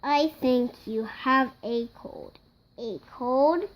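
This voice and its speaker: Young child of five, very slow halting storybook reading, high voice, some background noise. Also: rough recording. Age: child, five years old. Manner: slow, halting word-by-word reading with acted-out sneezes. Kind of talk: reading a picture book aloud.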